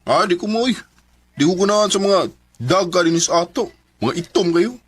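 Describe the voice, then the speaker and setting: Husky, animated young Cebuano suitor. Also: male voice, high voice, normal speed. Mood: eager, boastful. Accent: Cebuano Filipino. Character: young suitor Benny, vain and eager. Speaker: radio drama actor. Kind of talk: Filipino radio drama acting.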